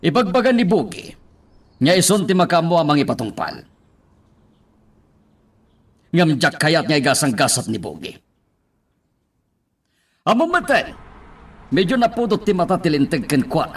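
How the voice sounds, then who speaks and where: A man's voice: a little high, very animated, moderate pace, pauses often, slightly rough, exaggerated voice. A man with an Ilocano accent playing a scheming crime boss in a radio drama, giving orders to his henchmen. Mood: secretive, menacing. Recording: loud music under the voice.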